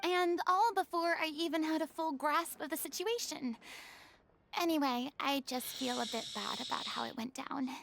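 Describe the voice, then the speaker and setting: A woman's voice: high-pitched, normal speed, gravelly, a bit bright, slight background noise. A woman voicing a theatrical young female star, proud but flustered, stammering between grand phrases in a video game. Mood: sheepish, apologetic.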